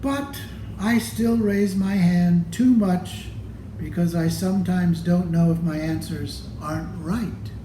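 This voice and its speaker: A man reading a children's picture book aloud expressively, with deliberate phrasing and pauses. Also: high voice, steady tone, mellow, roomy sound, slight background noise, rough recording. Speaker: storybook reader.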